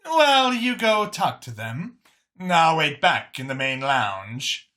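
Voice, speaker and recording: A man's voice: fairly high pitch, very animated, takes it slowly, sharp tone, pitched above the performer's own voice, heavily acted. A man voicing a poised, friendly alien woman in a narrated science fiction story, amused and insistent. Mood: reassuring, insistent.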